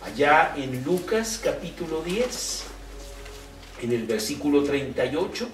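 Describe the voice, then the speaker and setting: A man gives a sermon at an unhurried pace, and his pitch rises and falls a lot as he asks his listeners question after question. He sounds set back from the microphone in a roomy, echoing space. A faint hiss runs underneath. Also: big pitch swings, distant mic. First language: Spanish. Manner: slow, conversational, with rhetorical questions. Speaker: preacher. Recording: roomy echo, off-mic, faint background noise.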